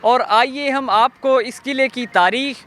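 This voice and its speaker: A man with a Pakistani accent presenting a walk-through documentary on location, explaining in a halting way. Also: very high voice, bright, forceful tone. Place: on location in an old fort's streets.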